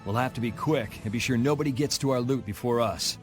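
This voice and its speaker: A man's voice: medium pitch, fast, over music, natural voice. An actor playing a cool, wry young swordsman in a video game.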